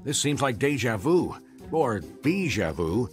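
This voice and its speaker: An easygoing old grandfather in a cartoon game. He is protective and guiding, with dry humour and an expressive mid-pitched delivery. Faint music sits behind him. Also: slow. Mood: dry, approving. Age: older. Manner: calm, dryly good-humoured, guiding.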